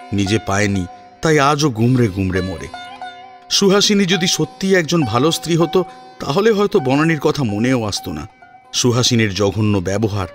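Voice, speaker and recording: A man narrating a scripted family drama serial with expressive, dramatic storytelling. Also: medium pitch. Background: soft sitar and plucked string music.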